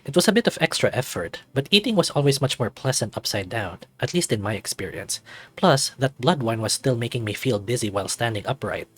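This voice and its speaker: A man giving measured, formal first-person narration of a scripted story. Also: medium pitch, animated, slightly rough.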